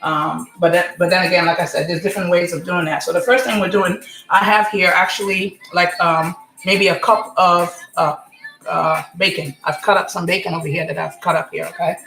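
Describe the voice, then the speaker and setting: A woman in her forties from Senegal hosting a casual live cooking stream from her home kitchen, chatty and rambling, often asking "okay?", with a slightly hoarse voice. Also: fairly low pitch, fairly even intonation, moderate pace, a bit bright, roomy sound, a little hiss or hum, low-quality recording. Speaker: home cook, channel host. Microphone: computer or phone camera mic.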